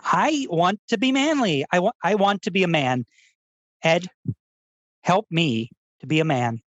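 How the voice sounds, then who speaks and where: A man playing an earnest, insecure public-radio essayist with a precise, soft, overly sincere delivery in a radio comedy. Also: high voice, lively intonation, a little slow, no room sound, dull audio. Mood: pleading earnest. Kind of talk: confessional public-radio narration parody.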